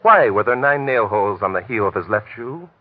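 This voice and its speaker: An actor playing an urbane, self-assured amateur detective in an old radio mystery, measured and precise with light amusement. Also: male voice, fairly low pitch, animated. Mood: smugly explaining. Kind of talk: 1940s radio mystery acting. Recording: muffled, hissy old radio recording.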